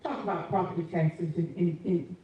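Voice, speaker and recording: A woman's voice: lowish voice, moderate pace, dull audio. A woman, asking questions in a chatty, informal way as interviewer. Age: 60s. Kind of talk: casual community interview. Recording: echoey room from a distance.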